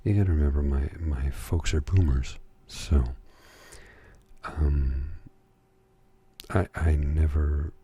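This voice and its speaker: A middle-aged man, a little hoarse, telling a rambling story in slow, soft-spoken ASMR style. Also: very deep voice, fairly even intonation. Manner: slow, reflective, rambling with fillers. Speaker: ASMR creator. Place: home at night. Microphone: near-field microphones, close.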